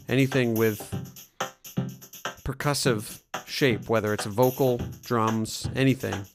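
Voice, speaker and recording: A man presenting a software tutorial as a music production trainer, explaining things in an informal way. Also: medium pitch.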